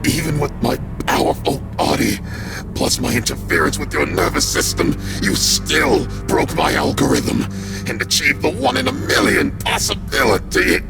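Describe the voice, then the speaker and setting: An actor voicing an arrogant AI parasite villain in a video game, gravelly and breathy, menacing and theatrical. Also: male voice, a little high, huge pitch swings, moderate pace, heavily acted. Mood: bitter, weary. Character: arrogant male AI parasite villain in a powerful body. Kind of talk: dramatic video game cutscene acting. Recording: loud music under the voice.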